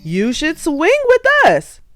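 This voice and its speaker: Cheery storybook animals, put on by a woman call out eager encouragement. The pitch jumps high and moves about in a lively way, over a music bed. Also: slow, exaggerated voice. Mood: eager coaxing. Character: cheery animal friends coaxing a sulky monkey. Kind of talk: children's storybook read-aloud. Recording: music behind the voice.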